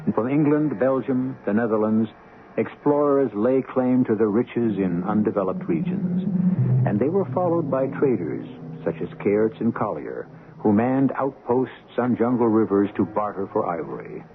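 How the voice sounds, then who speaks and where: A man's voice: lowish voice, expressive, normal speed, slight background noise. Casting: male radio drama narrator, theatrical, swooping intonation, lo-fi broadcast with music underneath. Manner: theatrical, portentous. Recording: muffled old radio broadcast.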